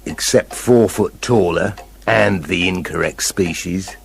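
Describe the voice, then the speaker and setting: An actor voicing a squeamish, sarcastic big bad wolf in a comic radio play, husky and forever complaining. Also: male voice, low-pitched, slow, heavily acted. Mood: sardonic. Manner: sardonic, put-upon complaining.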